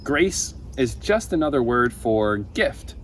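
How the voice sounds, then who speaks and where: A young man reading a children's Bible story aloud in a deliberate, expressive way, with emphatic pauses. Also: mid-range voice. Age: young adult. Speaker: storybook reader for a camp story time. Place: outdoors on a wooden deck by a pond. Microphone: camera a few feet away. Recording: outdoor ambient noise.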